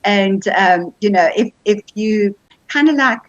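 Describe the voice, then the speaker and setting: A woman's voice: mid-range voice, lively intonation, unhurried, no room sound. A woman hosting an online writers' seminar, interviewing conversationally with hesitant phrasing and repeated words. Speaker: host of a writers' group seminar. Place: online video call. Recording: dull, lo-fi video-call audio.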